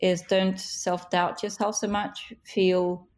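A woman in her thirties, a native speaker of Russian and Ukrainian, answering interview questions in an earnest, encouraging way with slow, emphatic phrasing. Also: fairly low pitch, level tone, darkish tone. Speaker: guest, author and business leader.

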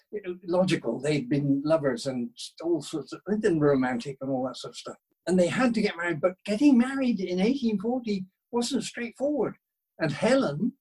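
Male interview guest: husky, animated pitch, rambling conversational delivery. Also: poor audio. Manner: halting, rambling with self-interruptions and asides. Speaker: guest, novelist. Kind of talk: casual interview chat about writing.

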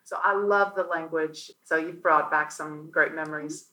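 A woman born in the Netherlands, hosting a podcast and telling stories in a chatty, animated way. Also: deep voice, normal speed, poor audio. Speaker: podcast host. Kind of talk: casual interview chat.

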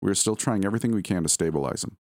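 A man's voice: low-pitched, very fast, darkish tone, no echo, clean studio sound. A man voicing a grave hospital doctor who explains things in an audio story.

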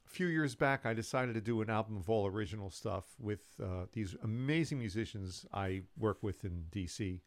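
An older man, a musician, telling his story in a relaxed, rambling way with many fillers and restarts. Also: lowish voice, moderate pace, hardly any pauses, no room sound. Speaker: musician guest. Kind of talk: casual storytelling interview.

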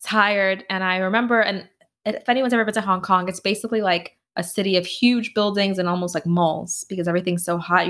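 A young woman answering interview questions casually, telling animated stories with lots of "like" fillers. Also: medium pitch. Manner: animated storyteller with frequent "like" fillers and laughs. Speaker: podcast host and finance blogger, interview guest.

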